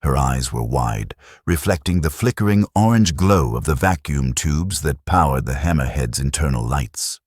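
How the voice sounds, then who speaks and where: Deep-voiced audiobook narrator, swinging dramatic intonation. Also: male voice, animated, normal speed, few pauses, darkish tone, breathy edge, no echo, clean studio sound.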